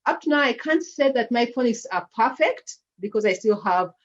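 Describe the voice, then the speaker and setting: A woman's voice: a little high, lively intonation, moderate pace, lo-fi sound. A woman with a Ugandan accent presenting slides on a video call, hesitant, with many fillers and restarts. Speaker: nonprofit founder presenting slides.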